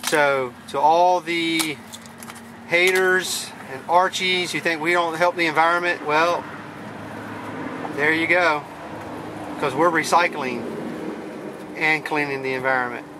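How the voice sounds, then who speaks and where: A man with a fairly high voice talks casually to a handheld camera outdoors. He is jokey and repeats himself. The audio is rough, with clear outdoor noise around him. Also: steady tone, slow, long pauses. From US South. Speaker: vlog host, relic hunter. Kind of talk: casual handheld vlog chat. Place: open farm field outdoors. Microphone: handheld camera microphone. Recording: noisy windy outdoor camcorder audio.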